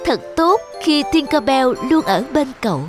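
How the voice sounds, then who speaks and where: A woman narrates a children's fairy tale in a fairly high voice. The delivery is scripted storytelling, with music playing underneath. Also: expressive, normal speed.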